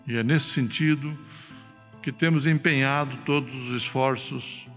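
A man's voice: medium pitch, steady tone, unhurried, fairly bright tone. Middle-aged man, brazilian portuguese accent, formal speech, podium mic, echoing hall, faint music. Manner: formal, oratorical. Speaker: university official. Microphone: podium mic on stand. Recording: reverberant hall.

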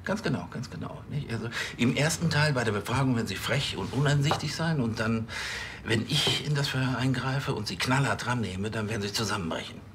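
A man's voice: medium pitch, fairly quick, noisy background, natural voice. Man playing a rambling, scheming police chief. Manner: hesitant, rambling, falsely cordial. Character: scheming, flustered middle-aged male police chief.

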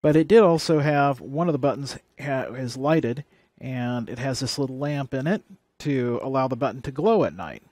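A man's voice: medium pitch, moderate pace, darkish tone, dry recording. A man presenting a hands-on electronics how-to, explaining things plainly and step by step. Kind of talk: hands-on tutorial demonstration.

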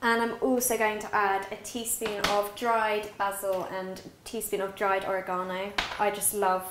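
A woman's voice: medium pitch, level tone, normal speed, noisy background. A young British woman in her twenties, chatty and upbeat, explaining a recipe step by step as she cooks in a home kitchen. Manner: upbeat, chatty, talks while cooking. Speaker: cookbook author and guest cook. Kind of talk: cooking demonstration chat. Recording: roomy kitchen with music behind.

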